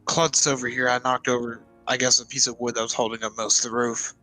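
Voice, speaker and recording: A man's voice: medium pitch, little pitch movement, fast talker, bright, forceful tone, low-quality recording. A man talking casually as a roleplay player, narrating his character's actions and lines in an informal, exasperated way. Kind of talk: casual in-game narration and dialogue. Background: music.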